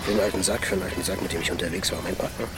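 A man's voice: deep voice, steady tone, very fast, very noisy, close to an everyday voice. An actor playing a chatty, jittery small-time ex-convict in his twenties who nervously repeats himself in a crime radio play. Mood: jittery, chummy. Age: 20s.

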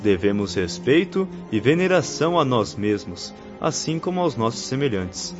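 An actor in a radio drama playing an earnest young spirit missionary who teaches patiently in a formal, solemn way. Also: male voice, medium pitch, big pitch swings, moderate pace, lightly acted. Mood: solemn, instructive. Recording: muffled lo-fi radio drama recording, faint music.

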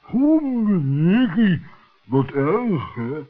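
A man's voice: high voice, big pitch swings, very slow, voice pushed up, exaggerated voice. A man voicing a timid, dim badger who stammers and whines in a muddled way in a children's radio play. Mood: frightened, whining. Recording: thin, narrow-band vintage radio recording.

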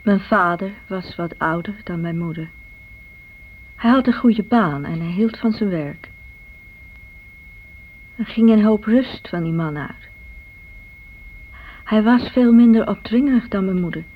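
Actress as calm grown daughter, muffled recording. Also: female voice, medium pitch, unhurried, long pauses, lightly acted. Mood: reflective. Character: grown daughter, calm, reflective, quietly independent. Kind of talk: radio play monologue, reminiscing. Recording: muffled radio-play recording with faint hum.

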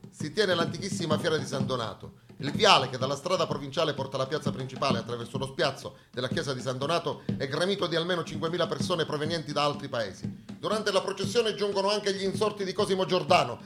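A man performing a dramatic theatrical monologue, narrating historical events in a deliberate, declamatory way. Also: fairly high pitch, background noise. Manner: declamatory, deliberate, storytelling delivery. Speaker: stage actor and narrator.

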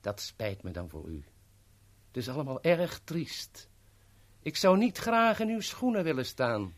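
An actor in a 1970s radio play voicing a smooth, sly henchman who negotiates with polite, teasing mock courtesy. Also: medium pitch, sing-song, measured pace. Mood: mock regretful. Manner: polite, formal, teasing understatement.

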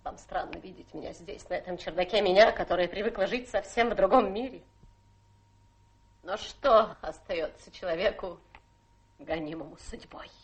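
A woman's voice: mid-range voice, normal speed, husky, natural voice. An actress playing a self-pitying adventuress who poses as a tragic fallen lady in a radio play, melodramatic, plaintive and coquettish. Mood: wistful, resigned. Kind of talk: theatrical radio play acting.

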